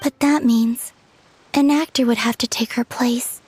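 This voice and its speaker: A woman voicing a gentle young woman in a video game who speaks softly and hesitantly, sometimes drifting into a whisper. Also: fairly high pitch, fairly even intonation, measured pace, breathy edge. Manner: soft and hesitant, trailing into whispers.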